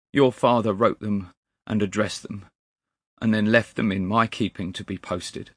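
A man's voice: on the low side, fairly bright tone, slightly rough, no room sound, clean studio sound, exaggerated voice. A man voicing an anguished, loyal male clerk in a dramatic storybook reading, deferential and pleading. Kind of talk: dramatic storybook character acting.

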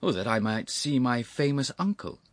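A man voicing an affable, impudent young gentleman in a storybook, unflappable, courteous and easily conversational. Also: medium pitch, expressive, moderate pace, no room sound, voice pushed up, close to an everyday voice. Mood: deferential, cheeky. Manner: smooth, courteous, easy conversational cheek. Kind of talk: audiobook character voice.

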